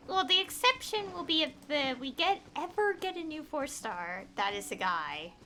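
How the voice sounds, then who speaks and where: A woman streamer with a high, very animated voice rambles casually to viewers. She sounds opinionated and exasperated, leaning on words with pleading emphasis. There is faint noise behind her. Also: normal speed. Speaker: game streamer. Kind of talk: casual rambling livestream chat.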